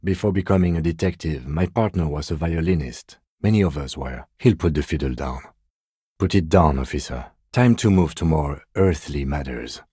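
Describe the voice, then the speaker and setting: A man playing a composed, by-the-book police detective in a video game, speaking in a measured, reserved, matter-of-fact way. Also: very deep voice, dark tone, slightly rough, no room sound, clean studio sound. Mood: composed, dry. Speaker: voice actor. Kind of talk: video game character dialogue.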